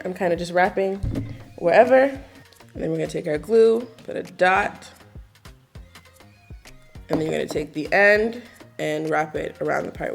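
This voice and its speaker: Gravelly, low-pitched young woman chatting casually. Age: 20s. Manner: relaxed, chatty, lots of filler likes and ums. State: slightly raspy morning voice. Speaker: hair tutorial vlogger. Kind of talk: casual step-by-step tutorial.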